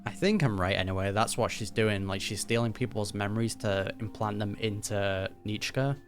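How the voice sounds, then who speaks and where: A streamer giving informal reaction commentary on a game, thinking out loud in a casual way. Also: low voice, fairly even intonation, moderate pace. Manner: informal, musing aloud. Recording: game music behind the voice.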